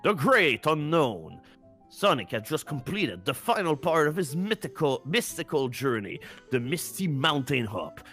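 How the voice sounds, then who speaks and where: A man's voice: a little high, very animated, measured pace, fairly bright tone, soft background music. A man streaming a fan fiction reading in a dramatic, comic narration style.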